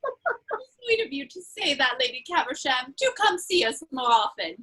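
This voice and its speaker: A woman's voice: high-pitched, sing-song, normal speed, bright, forceful tone, heavily acted, rough recording. A woman playing a pert, playful young society lady in a period stage comedy, gushing as she talks.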